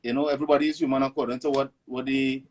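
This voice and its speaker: A man from Venezuela raised in Trinidad, with a Trinidadian accent, talking as a guest in a casual interview, halting with restarts. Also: medium pitch, level tone, a crowd in the background. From born in Venezuela, raised in Trinidad. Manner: halting, many restarts and "you know". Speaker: guest artist. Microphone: online live stream.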